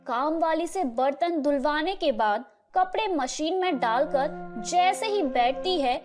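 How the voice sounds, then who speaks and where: A woman's voice: high-pitched, expressive, unhurried. A woman narrating a scripted children's storybook tale. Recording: music playing behind the voice.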